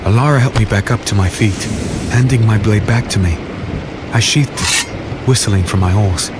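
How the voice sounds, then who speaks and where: A man playing a gruff but caring battle-worn warrior, narrating and speaking in a dark fantasy audio drama. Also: on the low side, moderate pace, heavy background noise, lightly acted. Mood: grim. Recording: loud thunderstorm and rain effects behind.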